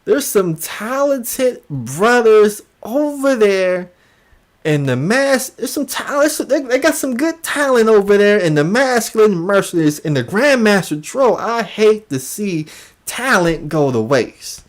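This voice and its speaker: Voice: mid-range voice, big pitch swings, measured pace. A livestream host giving casual commentary in an emphatic way, often repeating phrases for emphasis.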